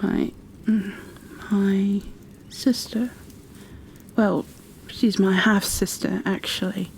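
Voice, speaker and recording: A woman playing a kind, flustered young bookkeeper in a fantasy ASMR roleplay, speaking softly and breathily, often near a whisper, with gentle hesitations and apologies. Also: mid-range voice, steady tone, drawn-out words, a little hiss or hum, low-quality recording. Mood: hesitant. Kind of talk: ASMR roleplay, addressed to the listener.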